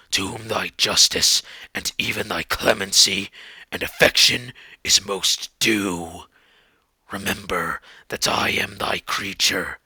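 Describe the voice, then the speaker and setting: A man voicing an anguished, bitter monster in a theatrical literary monologue, with a gravelly rasp and slow, archaic, eloquent delivery. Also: deep voice, pushed and bright, no room sound, full character voice. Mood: mournful, reproachful. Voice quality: gravelly, rasping. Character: anguished male monster pleading with his creator, bitter and eloquent.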